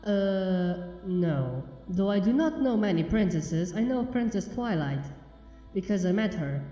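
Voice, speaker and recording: A man voicing a polite, hesitant young pony filly in a storybook, in halting, simple phrases. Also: high voice, lively intonation, warm tone. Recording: muffled, echoey long reverb under music.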